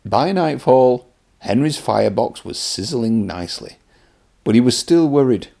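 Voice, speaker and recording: Man reading a children's storybook, lively pitch. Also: a little slow, creaky. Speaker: children's read-aloud presenter and narrator.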